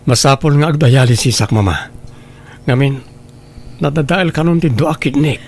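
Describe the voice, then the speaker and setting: A man's voice: mid-range voice, normal speed, slight background noise, lightly acted. A man playing an ailing, worried grown son in a radio drama, confiding in a subdued, sorrowful way. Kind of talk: Ilocano radio drama acting.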